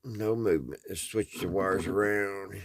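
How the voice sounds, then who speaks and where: Low-voiced folksy American man. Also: steady tone, measured pace. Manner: folksy, plain-spoken, thinking aloud. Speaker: host of a radio repair video. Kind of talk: casual hands-on repair vlog talk.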